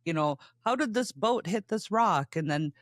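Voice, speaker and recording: Podcast host guy, kinda high voice, chatty storyteller, dry close sound. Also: animated, moderate pace, a bit bright, no room sound. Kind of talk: casual chat.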